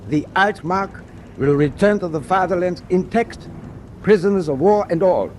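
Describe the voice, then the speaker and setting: An actor playing a stern, proud middle-aged German captain in a wartime radio drama, speaking in a clipped, deliberate, imperious way. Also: male voice, sits high, slight background noise, lightly acted. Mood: commanding, proud.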